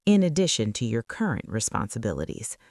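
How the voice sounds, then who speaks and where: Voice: medium pitch, big pitch swings, brisk, darkish tone, dry recording, studio quality. A narrator voicing a controlled, guarded billionaire boss and single father in an audiobook. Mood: curt, controlled.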